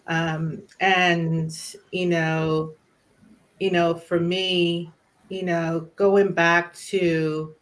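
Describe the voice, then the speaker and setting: This Trinidadian-accented woman speaks in a lowish voice and shares memories in a relaxed, conversational way. She fills her pauses with soft ums and "you know." Her pace is unhurried and personal. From Trinidad and Tobago. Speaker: guest. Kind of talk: casual personal storytelling.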